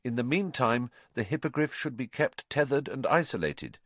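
A man voicing a schoolboy who reads an official letter aloud in a formal, measured way, in an audiobook. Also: medium pitch, expressive, no room sound, pitched above the performer's own voice, lightly acted. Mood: formal, careful.

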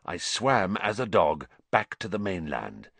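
A man voicing a gaunt, bitter fugitive with a croaky, hushed voice and a grave, intense manner in a story. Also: low-pitched, big pitch swings, unhurried, no echo, full character voice. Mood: haunted, intense.

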